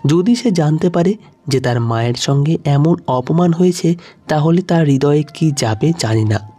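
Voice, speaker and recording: Man voicing a humble, husky old mother. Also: a little high, expressive, normal speed, dark tone. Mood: sorrowful. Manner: meek, polite pleading. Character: humble, pleading old mother, poor but dignified.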